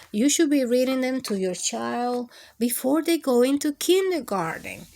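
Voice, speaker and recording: A woman reading picture books aloud to young children, speaking expressively, drawing out words and addressing the little listeners directly. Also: fairly high pitch. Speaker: children's storytime host and reader.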